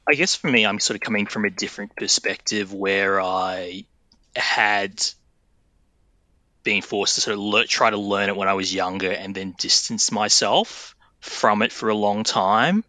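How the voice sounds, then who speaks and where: A man's voice: on the low side, a little slow, bright, forceful tone, dry recording. A man talking in a reflective, hedging way as a podcast guest. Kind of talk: casual podcast chat.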